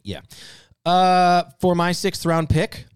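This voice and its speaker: A man's voice: high voice, flat delivery, takes it slowly, clean tone, no room sound, studio quality. A man co-hosting a casual panel show, bantering in an emphatic, playfully exaggerated way. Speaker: podcast panelist and co-host.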